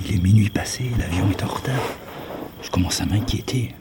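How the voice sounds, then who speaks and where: A man's voice: low-pitched, fairly quick, background noise, close to an everyday voice. A man playing a wry resistance fighter in an audio drama, talking conversationally. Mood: uneasy, wry.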